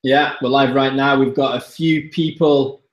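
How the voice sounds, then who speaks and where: A man's voice: mid-range voice, steady tone, unhurried. A man from the north-east of Wales hosting a live online masterclass, talking casually and speaking straight to his viewers. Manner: chatty, addresses viewers directly. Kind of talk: casual live-stream presentation. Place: room, Budapest. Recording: roomy echo.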